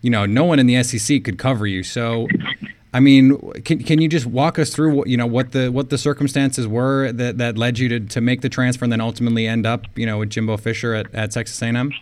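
A man's voice: mid-range voice, steady tone, fast talker, a little mellow. An American man interviewing a guest casually, chatty and given to long, meandering questions. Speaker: interviewer, sports show host.

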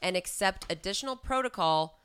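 A woman's voice: medium pitch, animated, a little fast, fairly bright tone, clear, resonant tone. An American woman co-hosting a podcast, chatting casually about the news with crude, irreverent jokes. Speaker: podcast co-host. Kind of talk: casual political chat.

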